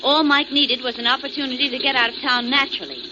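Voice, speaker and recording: An American actress playing a playful, inquisitive young companion to a detective in a 1940s radio drama. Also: female voice, high-pitched, steady tone, fast, close to an everyday voice. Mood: eager. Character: bright, teasing young woman, detective's admiring companion. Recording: noisy, muffled 1940s radio recording.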